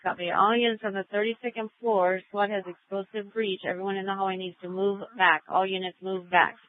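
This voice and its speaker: A woman's voice: medium pitch, fairly even intonation, fairly quick, dry recording. An American woman working as a police dispatcher, relaying information procedurally and repeating key details over a radio scanner. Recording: narrowband tinny radio scanner audio.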